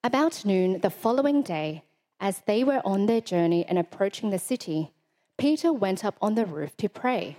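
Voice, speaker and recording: A woman reading scripture aloud in church in a measured, formal way. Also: medium pitch, animated, mellow. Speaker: scripture reader.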